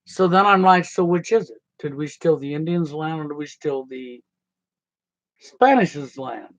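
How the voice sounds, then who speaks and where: A man's voice: on the high side, expressive, measured pace, no room sound. A middle-aged American man telling a rambling personal story in a folksy, casual way with loose grammar. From United States. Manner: folksy, conversational, digressive, nonstandard grammar. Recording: slightly dull, dry lo-fi sound.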